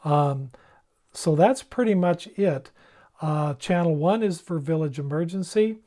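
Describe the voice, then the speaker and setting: Mellow higher-pitched man explaining things step by step. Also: measured pace. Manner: plain-spoken, step-by-step. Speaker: presenter demonstrating emergency radios. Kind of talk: informal instructional explanation.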